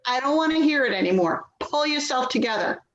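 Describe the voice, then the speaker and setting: A woman's voice: high voice, lively intonation, brisk, dull audio. A woman in a play reading, playing an impatient, defensive grown daughter who sounds exasperated and dismissive. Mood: fed up. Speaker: actor in a readers theater play. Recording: roomy echo, slight background noise.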